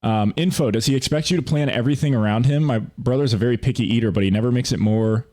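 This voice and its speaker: A man's voice: mid-range voice, fast, darkish tone. Slangy young man chatting on a podcast. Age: 20s. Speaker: podcast co-host. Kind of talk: casual podcast chat with friends.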